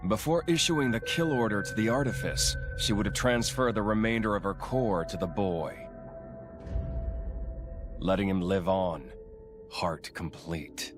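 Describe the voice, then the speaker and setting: An actor playing a cynical, aloof villain in a video game, dry and matter-of-fact. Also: male voice, fairly low pitch, measured pace, over music, close to an everyday voice. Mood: cool, dismissive. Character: cynical, aloof male villain explaining secrets coolly. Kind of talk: video game cutscene acting.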